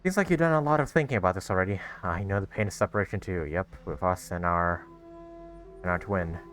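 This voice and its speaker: Low-voiced young man, casual gamer. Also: a little fast, fairly bright tone. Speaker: gaming video host. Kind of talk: casual playthrough commentary.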